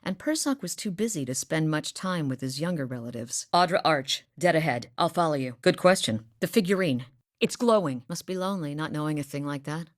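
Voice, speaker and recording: A woman's voice: deep voice, lively intonation, fairly quick, dry recording, studio quality. A woman voicing a determined young woman hunter, earnest and sincere in a video game. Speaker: voice actor. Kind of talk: video game character dialogue.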